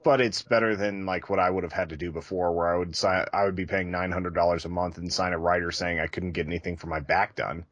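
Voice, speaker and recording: A man with a low voice talks candidly in casual podcast conversation. The sound is dry and close, and his delivery is conversational and a little self-deprecating. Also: fairly even intonation, fast talker, few pauses, a bit bright, no echo, highs cut off. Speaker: podcast co-host.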